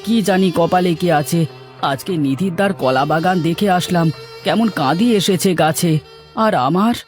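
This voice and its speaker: Gentle village farmer and husband, male actor, earnest, lightly high voice, cartoon folk tale, music underneath. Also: normal speed, natural voice. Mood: worried.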